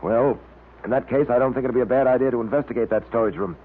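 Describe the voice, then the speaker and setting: An actor playing a resolute police detective in a radio drama, clipped and authoritative. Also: male voice, mid-range voice, fairly even intonation, fast, phone-quality sound, natural voice. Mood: businesslike. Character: resolute, commanding police detective, adult man. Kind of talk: 1930s-40s radio drama acting. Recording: thin, noisy vintage radio recording.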